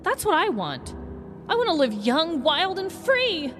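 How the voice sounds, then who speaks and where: An anxious millennial woman from an audio story, acted by a woman, melodramatic and full of yearning. Her voice is very high and swings widely in pitch, set in long reverb. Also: sing-song, unhurried, a bit bright, faint music behind, full character voice. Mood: pleading.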